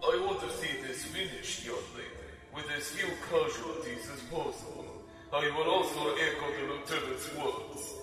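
A man acts a stern, arrogant male commodore in a sci-fi radio play. His voice sits fairly high for a man and is commanding and formal, with faint music behind. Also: normal speed, lightly acted. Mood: cold, menacing. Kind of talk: audio drama acting.

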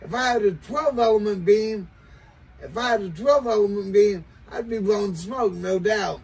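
High-pitched gravelly American man on CB. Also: moderate pace, crowd noise. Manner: showy, repeats catchphrases. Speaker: CB radio operator. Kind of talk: CB radio banter, slangy call-outs. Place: small cluttered indoor radio room or shed. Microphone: desk CB microphone in hand, filmed from across room.

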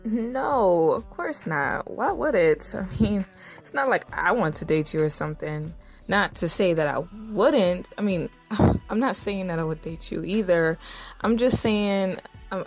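Audio drama lead: actress playing young married woman, conflicted and defensive, medium pitch. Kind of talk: audio drama acting with first-person narration.

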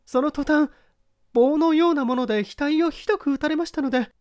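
Timid, frightened housemaid for a mystery audiobook, high-pitched, courteous and faltering. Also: male voice, a little fast, darkish tone, no room sound, studio quality. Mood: shaken, faltering. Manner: faltering, polite feminine speech. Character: frightened young maid, polite and faltering.

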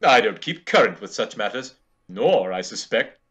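An actor playing a smooth-talking, pompous, scheming chief in a comic space-adventure radio play, with theatrical, formal delivery. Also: male voice, lowish voice, big pitch swings, normal speed, a bit bright. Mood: smug, evasive.

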